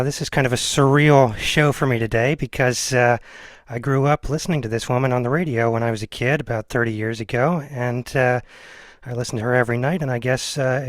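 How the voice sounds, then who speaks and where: A man's voice: medium pitch, dry recording. An American man around forty hosting a casual interview, speaking informally and a bit hesitantly. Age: 30s or 40s. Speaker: podcast host, film teacher.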